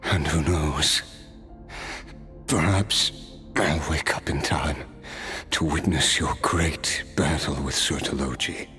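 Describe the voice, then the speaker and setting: A cursed immortal worn down by centuries, with a voice sunk to the very bottom of the range. He speaks slowly and gravely, each phrase pushed out with tense force. A video game character, solemn and reflective. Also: rumbling low, steady tone, bright, forceful tone, faint music behind, full character voice. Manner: slow, measured, reflective speech. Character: weary cursed immortal man seeking release.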